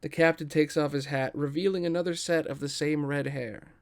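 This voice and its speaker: A man's voice: on the high side, fairly even intonation, a little fast, darkish tone. A man reading scripted stage directions as the narrator of a table read.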